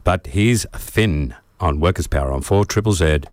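A man acts as a radio presenter. He makes an informal live introduction in a hesitant, halting manner, with faint noise and clicks behind him. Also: low voice, lively intonation, darkish tone.

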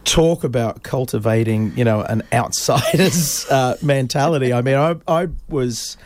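A man's voice: mid-range voice, a little slow, a little mellow. A man in his fifties, raised in Australia, telling stories in a casual interview with many fillers and restarts. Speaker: podcast guest.

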